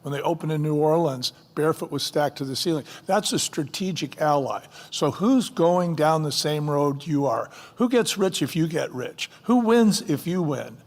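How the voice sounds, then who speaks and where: A man with a somewhat high voice talks emphatically from a conference stage, driving his points home with punchy rhetorical questions. His voice carries a long hall reverb. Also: moderate pace, hardly any pauses. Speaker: company co-founder on stage. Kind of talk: conference fireside chat.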